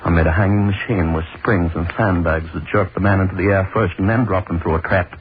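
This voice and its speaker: A man's voice: low-pitched, fairly even intonation, fairly quick, lightly acted. An actor playing an obsessive, cruel hangman who gloats and broods over his work, in a 1930s radio drama monologue. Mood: brooding. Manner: gloating, brooding, lingers on words. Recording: scratchy tinny 1930s radio broadcast.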